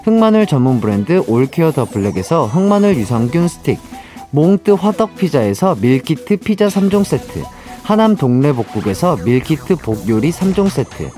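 A man's voice: on the high side, sing-song, moderate pace, hardly any pauses, warm tone, soft background music. A man hosting a radio show in a studio. He speaks in a friendly, polite and upbeat way as he reads out listener messages and greets his guests. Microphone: studio microphone.

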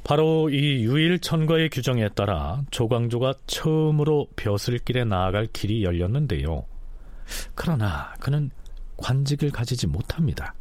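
Expressive male documentary narrator. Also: mid-range voice, normal speed, no room sound. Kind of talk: scripted narration.

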